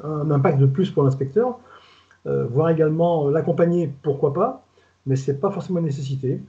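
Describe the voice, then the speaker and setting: Older man, southern French accent, retired inspector type; rapid, rambling, colloquial delivery, mellow tone, lo-fi call audio. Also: on the high side, creaky. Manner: fast, rambling, colloquial, stumbles and repeats words. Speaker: retired food safety inspector, guest expert. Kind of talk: casual webinar talk. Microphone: video call. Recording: dull lo-fi video call audio.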